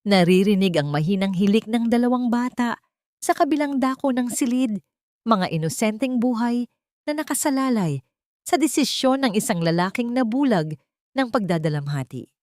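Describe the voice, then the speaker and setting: A woman's voice: on the high side, lively intonation, moderate pace, darkish tone, no room sound, clean studio sound. A woman narrating an audiobook, reading a scripted story in a dramatic style.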